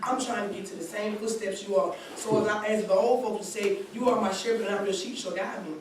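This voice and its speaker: A woman's voice: medium pitch, steady tone, fast talker. Young woman speaking in an echoey church hall. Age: 20s. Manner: earnest, talks in long run-on sentences with restarts. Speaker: college student speaking at a community seminar. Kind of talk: personal testimony at a gathering. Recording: very echoey hall recorded from a distance with background noise.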